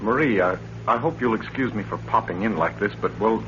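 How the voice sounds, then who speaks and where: An actor playing an apologetic, anxious family friend, hesitant and halting, in 1940s radio thriller style. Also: male voice, lowish voice, a bit bright, lightly acted. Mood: nervous, apologetic. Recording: dull old radio recording with hum.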